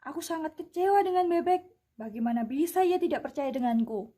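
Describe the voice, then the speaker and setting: A high-pitched, soft-toned rabbit acted by a young woman from a puppet fable. It is an indignant, doubting little creature that questions insistently and plaintively. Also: lively intonation, brisk. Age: young adult. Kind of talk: puppet-show fable dialogue.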